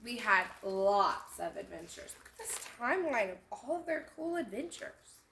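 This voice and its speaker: A woman's voice: fairly high pitch, normal speed, a little hiss or hum. A woman hosting a children's storytime, reading a picture book aloud expressively and adding little explanatory asides. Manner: animated, acting out lines and pointing out details. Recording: echoey room sound.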